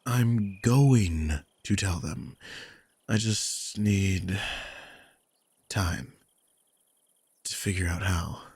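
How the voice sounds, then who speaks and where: A man playing a grumpy, sardonic old vampire mentor in an intimate audio roleplay, husky and informal, prone to swearing. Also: sits low, very slow, long pauses, a little mellow, no echo, heavily acted. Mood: defensive, sardonic.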